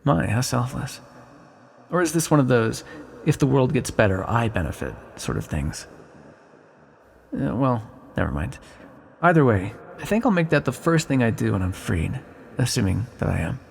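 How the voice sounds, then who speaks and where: A man voicing an ancient imprisoned villain in an ASMR roleplay, sly, lonely and tenderly flirtatious, speaking in an intimate, teasing, musing way with dramatic pauses. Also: medium pitch, sing-song, gravelly, slight background noise. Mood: playful, flirtatious. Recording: long reverberant echo.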